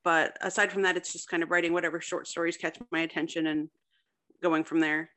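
A woman's voice: lowish voice, little pitch movement, a little fast, a bit bright. A woman answering casually as a writer being interviewed. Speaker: fiction writer, interview guest.

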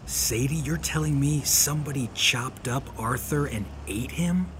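An actor playing a tough, world-weary detective in a period audio drama, with terse, blunt delivery. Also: male voice, medium pitch, measured pace, fairly bright tone, a little hiss or hum, close to an everyday voice. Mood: weary, questioning. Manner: terse, hardboiled. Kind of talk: hardboiled period radio-drama acting.

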